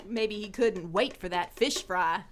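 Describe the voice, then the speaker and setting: A woman's voice: mid-range voice, natural voice. A playful frontier woman, played by an actress in a radio western. She has an American accent and takes her time over words, teasing with easy affection. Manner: teasing, unhurried.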